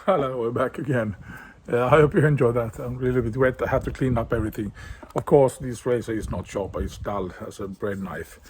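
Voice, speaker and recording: A man's voice: medium pitch, a little fast, a little mellow, slight background noise. Swedish man chatting through a shave. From Sweden. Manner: chatty, searching for English words. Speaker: shaving channel host. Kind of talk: casual shaving vlog.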